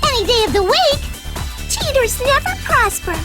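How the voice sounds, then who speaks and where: A woman voicing a spirited young cartoon animal racer in a video game, youthful, emphatic and quick to indignation. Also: very high voice, very animated. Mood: defiant. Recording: music under the voice.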